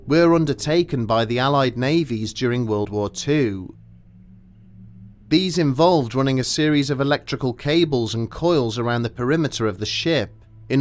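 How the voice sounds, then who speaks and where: A man narrating a scripted documentary story in a measured, grave and formal manner. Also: mid-range voice.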